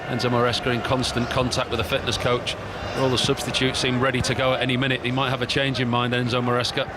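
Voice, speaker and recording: A man's voice: medium pitch, completely flat delivery, fast. A man giving expert co-commentary on a football match, analysing the play and criticising poor finishing. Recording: noisy background.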